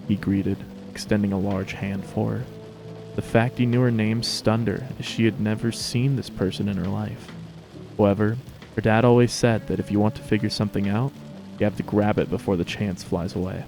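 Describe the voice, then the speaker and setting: A male narrator gives a dramatic story reading. His voice is low, with plenty of vocal fry, and music is clearly audible behind him. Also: steady tone, normal speed, rough recording.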